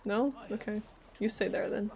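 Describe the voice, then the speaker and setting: A woman's voice: medium pitch, moderate pace, vocal fry, darkish tone. A woman doing casual live gameplay commentary, informal, playful and reactive as a streamer.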